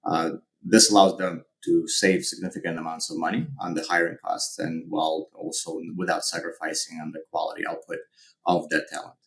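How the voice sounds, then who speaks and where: A middle-aged man with a Ukrainian accent talks through his answers in a very deep, flat voice. He is measured and keeps pausing on fillers and restarting his sentences. He sounds like a podcast guest. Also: vocal fry, rough recording. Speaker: guest, company founder.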